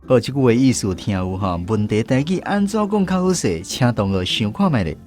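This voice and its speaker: A man's voice: mid-range voice, big pitch swings, brisk, darkish tone, soft background music. A man with a Taiwanese accent hosting a language lesson on the radio. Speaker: host of a Taiwanese-language quiz segment.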